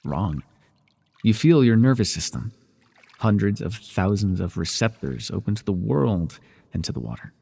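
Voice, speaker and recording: Breathy, low-voiced teasing villain mentor played by a man. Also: very animated, moderate pace, exaggerated voice. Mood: patient, instructing. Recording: reverberant indoor pool echo.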